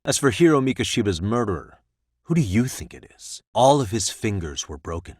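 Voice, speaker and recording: A man acting as a composed, sharp-witted defense attorney in a video game, speaking in measured, articulate, formal courtroom lines with a probing insistence. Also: on the low side, big pitch swings, slightly rough, dry recording, clean studio sound. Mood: probing, confrontational. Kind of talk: scripted dramatic courtroom dialogue in a game.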